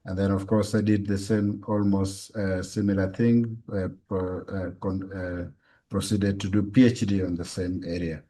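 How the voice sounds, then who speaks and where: Man, Kenyan accent, low mellow voice, interview guest, explanatory answers. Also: steady tone, unhurried. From western Kenya. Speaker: guest, physicist and university lecturer.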